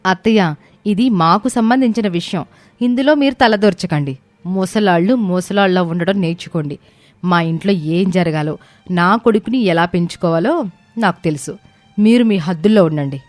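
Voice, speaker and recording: A woman's voice: fairly low pitch, moderate pace, a little mellow, dry recording, faint music behind, natural voice. A woman voicing an arrogant, angry young mother in a family story, scolding and accusing. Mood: arrogant, defiant. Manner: scolding, accusing, rhetorical questions. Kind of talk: dramatized Telugu family story acting.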